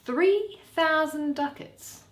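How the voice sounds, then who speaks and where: A woman's voice: sits high, slow, pitched above the performer's own voice. A woman voicing a shrewd, bitter male moneylender in a Shakespeare play, drawing out and weighing each phrase. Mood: calculating. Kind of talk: dramatic Shakespeare reading. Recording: roomy echo.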